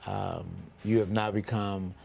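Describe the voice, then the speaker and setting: A man hosting a local TV interview, speaking in a measured, formal way with frequent ums. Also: on the low side, flat delivery, gravelly, a little mellow, slight background noise. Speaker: TV interview host.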